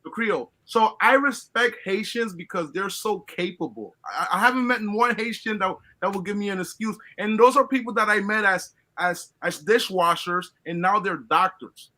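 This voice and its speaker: Colombian guy, high bright voice, preachy and earnest, keeps restarting his words. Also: moderate pace, few pauses. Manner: earnest, lecturing, many restarts. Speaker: guest caller. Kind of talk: casual opinion talk.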